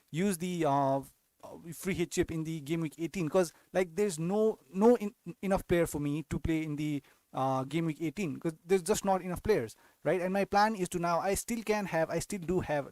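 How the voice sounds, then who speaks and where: A man's voice: on the high side, normal speed, darkish tone, no echo. A young man from Nepal hosting a casual fantasy football live-stream Q&A, often restarting sentences and adding tag questions. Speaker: fantasy football channel host.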